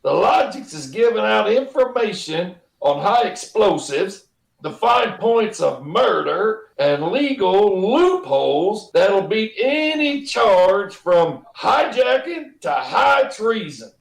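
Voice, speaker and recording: A man acting a gruff, exasperated working-class boss in a radio comedy, blustering in ungrammatical tough talk. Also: high-pitched, big pitch swings, slow, echoey room, exaggerated voice, rough recording.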